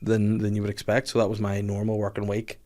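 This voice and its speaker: A man's voice: fairly low pitch, monotone, fast talker, mellow. A man, hosting a casual podcast chat, joking and swearing freely. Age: 30s. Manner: jokey, swears freely, acts out quotes. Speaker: podcast host.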